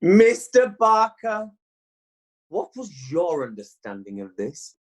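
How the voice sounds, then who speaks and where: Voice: mid-range voice, sing-song, slow pace. A stammering fussy court advocate, acted out.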